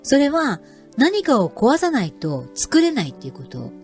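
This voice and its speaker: A woman's voice: high-pitched, huge pitch swings, fast talker, darkish tone, faint music behind. A woman hosting a nature program, speaking politely and explaining as she introduces segments. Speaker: host. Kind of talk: radio-style narration.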